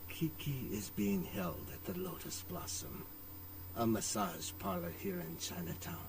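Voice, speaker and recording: A man's voice: mid-range voice, sing-song, measured pace, gravelly. An actor playing a middle-aged Chinese restaurant owner in a video game, a worried father who speaks formally and courteously. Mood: resolute. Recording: distant game audio with background noise.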